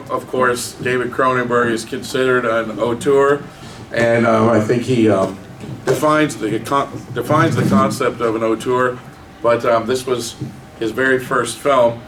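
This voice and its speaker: A man hosting a convention panel Q&A, asking questions in a relaxed way with many fillers. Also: medium pitch, measured pace. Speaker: Q&A host. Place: convention hall stage. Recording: echoey hall from far off with faint noise.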